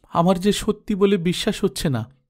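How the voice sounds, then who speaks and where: A man's voice: high voice, lively intonation, normal speed, a little mellow, voice pushed up. A man voicing an emotional married daughter in a storybook, devoted and outspoken, with a pleading, effusive manner. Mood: overjoyed disbelief.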